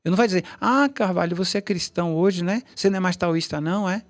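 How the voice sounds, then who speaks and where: A man's voice: high voice, fast talker, faint music behind. A middle-aged man, a tai chi teacher, telling long rambling stories in a casual interview, with many restarts and asides. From Brazil, Bahia.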